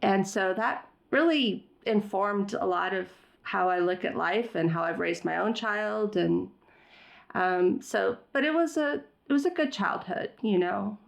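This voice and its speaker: A woman's voice: mid-range voice, measured pace, creaky. A middle-aged woman whose first language is Farsi, talking reflectively in an interview with many fillers and restarts. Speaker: guest. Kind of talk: conversational interview.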